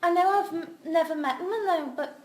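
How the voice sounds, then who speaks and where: A woman playing a gentle, concerned young woman who speaks hesitantly and earnestly in a script reading. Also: very high voice, normal speed. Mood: earnest, disapproving. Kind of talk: audio drama script reading. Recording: echoey room with faint noise.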